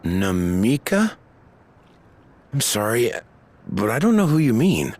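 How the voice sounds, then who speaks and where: A man's voice: medium pitch, very animated, slow pace, darkish tone. A man playing a polite, mild, apologetic official in a video game. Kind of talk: scripted video game cutscene acting.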